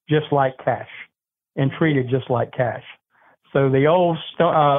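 An older man calls in as a radio guest over a tinny phone line. His voice sits a bit high for a man. He sounds earnest and warm-hearted and clears his throat now and then. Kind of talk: conversational radio interview.

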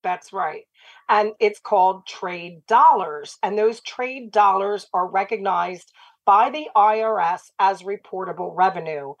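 Slightly raspy woman hosting radio. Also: mid-range voice, unhurried, no echo, highs cut off. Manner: upbeat, steady and talkative. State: slightly raspy after laryngitis. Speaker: radio show host. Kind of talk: radio talk show hosting.

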